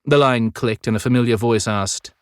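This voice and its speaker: Male audiobook narrator, middle pitch, dramatic scripted read, very dry studio sound. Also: moderate pace, smooth, dry recording.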